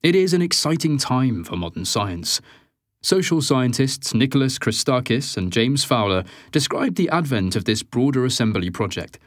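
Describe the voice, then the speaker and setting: Male nonfiction narrator: measured and articulate, lively intonation, dry studio-like sound. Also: mid-range voice, no room sound. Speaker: audiobook narrator.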